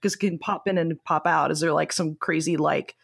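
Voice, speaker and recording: A man with a high-pitched voice chats on a podcast. His style is informal and unscripted, like talk among friends. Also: steady tone, a little fast, creaky, a bit bright, slightly rough, dry recording. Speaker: podcast panelist. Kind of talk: casual chat.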